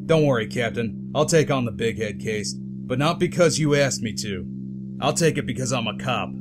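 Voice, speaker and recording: A man's voice: medium pitch, animated, moderate pace, music in the background, close to an everyday voice. A man playing a proud, sarcastic, resentful police detective in a comic-book dub. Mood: angry. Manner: needling, blunt.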